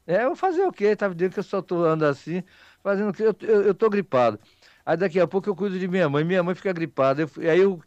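Man, Bahian Brazilian accent, radio host, higher-pitched voice, folksy teasing chat, repetitive and rambling, dry close studio sound. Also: no room sound, dull audio. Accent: Bahian Brazilian Portuguese. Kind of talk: casual live radio chat with listeners.

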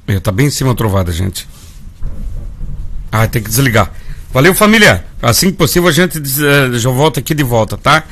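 A man's voice: mid-range voice, a bit bright. A Brazilian man hosting a live gospel radio show, folksy and calling his listeners family. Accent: Brazilian Portuguese. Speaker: radio host.

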